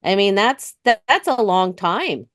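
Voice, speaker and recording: American woman in relaxed interview conversation, dry sound. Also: medium pitch, a little slow, dry recording. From United States. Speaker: interview guest, retired police dispatcher.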